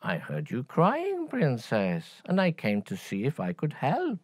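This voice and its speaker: A woman voicing a male frog in a storybook tale, with a creaky voice that begs and coaxes. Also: rumbling low, wildly swooping pitch, a little slow, darkish tone, no echo, clean studio sound, voice pushed up, full character voice. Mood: coaxing.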